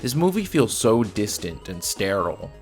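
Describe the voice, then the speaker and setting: A man's voice: on the low side, sing-song, normal speed. A man narrating a casual, scripted movie review in a blunt, sometimes profane way. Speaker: video reviewer. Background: faint background music.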